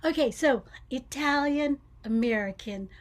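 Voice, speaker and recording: A woman's voice: high voice, animated, unhurried. A woman hosting an interview show, welcoming her guest with enthusiasm and encouragement. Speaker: talk show host. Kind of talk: casual interview.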